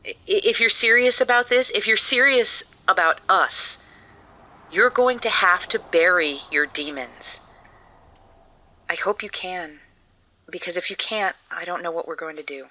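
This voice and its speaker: A pleading, earnest wife. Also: female voice, lowish voice, measured pace, bright, forceful tone, dull audio. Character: Maria, Jared's worried estranged wife. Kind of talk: audio drama acting.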